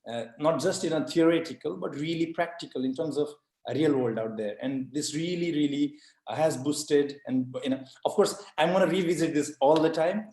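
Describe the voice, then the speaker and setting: A man's voice: fairly high pitch, mellow. A man from Nepal giving a casual, effusive and earnest testimonial as a former course student. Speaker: IoT solution architect, course alumnus giving testimonial. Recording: roomy echo.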